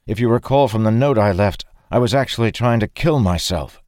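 Man acting an anguished young fantasy warrior, raised pitch. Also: a little fast, darkish tone, no echo, studio quality, close to an everyday voice. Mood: defensive. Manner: earnest, desperate pleading. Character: anguished, guilt-ridden warrior made young again, pleading.

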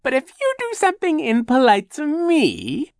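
A man puts on a foolish, sugary fake-lady receptionist with an evil villain underneath. The voice is a ridiculously high falsetto that swoops wildly. It drags out its words theatrically, in storybook style with a very dry sound. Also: wildly swooping pitch, no echo, exaggerated voice. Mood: menacing. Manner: mock-sweet, drawn-out, theatrical.

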